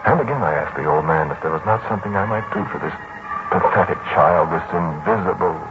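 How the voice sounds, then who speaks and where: An actor playing a brooding mathematician lodger, narrating a haunting mystery in 1940s radio drama style with hesitant, ruminative pauses. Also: male voice, deep voice, lively intonation, over music. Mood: troubled, haunted. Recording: muffled, noisy 1940s radio broadcast.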